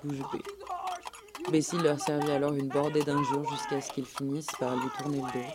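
A woman narrating a scripted radio documentary story in measured, literary phrasing. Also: deep voice, flat delivery, very noisy.

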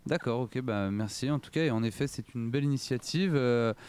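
A man's voice: medium pitch, fairly quick, darkish tone, clean tone. A man hosting a radio panel show, playful and teasing as he hands the floor around. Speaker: radio host. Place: radio studio.

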